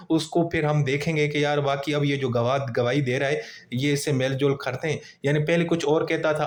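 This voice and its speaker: Pakistani man giving an informal law lecture. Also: fairly high pitch. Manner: conversational, explains with everyday examples and acted-out questions.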